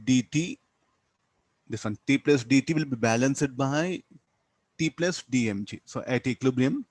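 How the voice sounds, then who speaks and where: An Indian-accented man gives a physics lecture in a medium-pitched voice. He works through problems didactically, putting questions to the class and answering them himself. The sound is dry, with very little room echo. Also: moderate pace. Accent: Indian English. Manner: didactic, asks and answers own questions, tags statements with "no?". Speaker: physics teacher.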